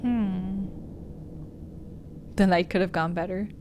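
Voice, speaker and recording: A woman with a slightly low voice streams a visual novel playthrough. She speaks like an expressive storyteller, stumbling a little as she reads. Some background noise and ambience sits behind her. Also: takes it slowly. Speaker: game streamer. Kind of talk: livestream playthrough, reading visual novel narration aloud.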